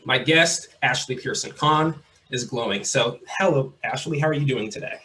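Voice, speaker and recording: Young man, podcast host, relaxed chatty delivery, faint background hiss. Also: mid-range voice, moderate pace. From Mississippi. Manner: relaxed, chatty, repeats phrases. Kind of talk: casual interview.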